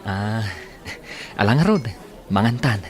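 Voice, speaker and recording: An actor playing a friendly young village suitor in an Ilocano radio drama, with an Ilocano accent. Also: male voice, lowish voice, animated, takes it slowly. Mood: tender, friendly.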